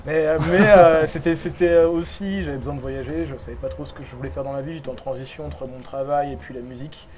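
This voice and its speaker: A man answering interview questions on a live talk show, halting and repeating words as he thinks. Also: a little high, fairly even intonation, crowd noise. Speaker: musician guest. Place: live event on a boat.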